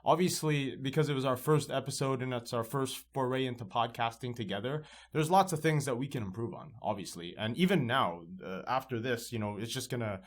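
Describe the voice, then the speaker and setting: A man's voice: mid-range voice, brisk, few pauses. A man in his thirties hosting a casual podcast chat from a library recording studio, upbeat and rambling with restarts. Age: 30s. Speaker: podcast host.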